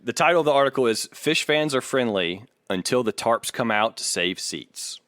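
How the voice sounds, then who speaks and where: A man co-hosting a podcast, talking casually in a measured, hesitant way and sometimes reading aloud. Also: mid-range voice, expressive, fairly bright tone, no echo, clean studio sound. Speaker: podcast co-host.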